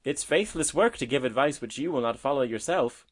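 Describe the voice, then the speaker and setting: Man as a lively, exclamatory little robin. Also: on the low side, moderate pace. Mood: earnest. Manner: bright-spirited, exclamatory. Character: cheerful, earnest little male robin. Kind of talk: storybook character voice.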